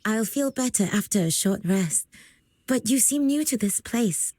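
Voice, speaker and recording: Gentle, slightly husky young villager. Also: female voice, on the high side, a little slow, no room sound. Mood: calm, reassuring. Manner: calm, polite, explanatory. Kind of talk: video game character acting.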